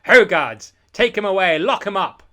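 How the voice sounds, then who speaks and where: An imperious, villainous lord from a storybook, acted out by a male reader. He is sly and bullying, with a loud, commanding delivery pushed above a normal talking pitch. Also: animated, moderate pace. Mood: shouted command.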